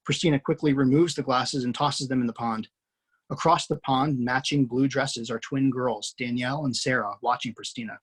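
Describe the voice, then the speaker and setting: A screenwriter hosting a table read over a video call, reading scene directions aloud. His voice is slightly husky and sits at an ordinary middle pitch, delivered plainly and steadily. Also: fairly even intonation, moderate pace, a bit bright. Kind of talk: reading stage directions, then casual chat. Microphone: video call mic.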